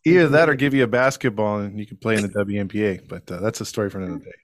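A man's voice: on the low side, very fast, no room sound. A man narrating a true crime story on a podcast in a casual, joking, conversational way.

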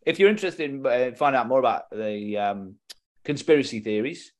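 A man's voice: medium pitch, fairly quick. A British man co-hosting a podcast in a casual, jokey, chatty way. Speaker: podcast co-host. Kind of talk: casual comedic chat.

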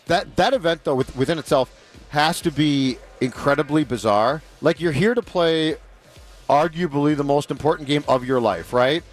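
A man's voice: on the high side, normal speed. A man co-hosting a sports radio show, bantering dryly and telling stories with animation. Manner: dry, bantering, animated storytelling. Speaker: radio co-host. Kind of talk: casual sports radio chat.

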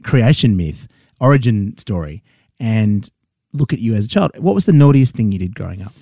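A man's voice: lowish voice, very animated, moderate pace, warm tone, no echo, studio quality. A man co-hosting a comedy podcast, asking teasing, mock-earnest questions. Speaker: podcast co-host. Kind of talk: comic interview questioning.

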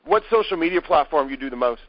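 High-voiced man telling stories casually. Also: quick pace, dry recording. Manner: storytelling, animated, swears casually. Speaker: podcast host. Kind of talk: casual chat. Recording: dry, dull lo-fi sound.

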